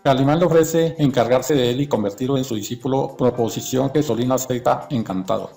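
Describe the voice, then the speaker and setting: A man's voice: medium pitch, brisk, vocal fry, dark tone, faint music behind. A man narrating from a script in an informational documentary style.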